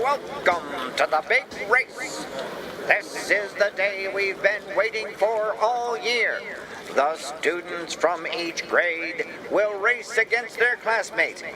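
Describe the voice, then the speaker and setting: A man voicing a formal stadium announcer in a storybook, addressing crowds at a school race in deliberate phrases. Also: sits high, voice pushed up, exaggerated voice. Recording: outdoor crowd noise behind the voice. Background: outdoor stadium crowd.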